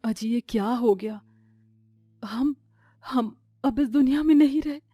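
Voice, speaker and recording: A woman's voice: on the high side, unhurried, warm tone, dry recording, studio quality. A woman voicing a cartoon ghost of a devoted mother, grieving and pleading. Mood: grieving, resolute. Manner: pleading, sorrowful.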